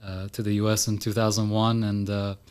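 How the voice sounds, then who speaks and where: A man's voice: on the low side, flat delivery, brisk, smooth. A man of about thirty from Iran, a podcast guest talking casually in a studio with lots of "uh" and "you know" fillers. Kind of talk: casual interview talk.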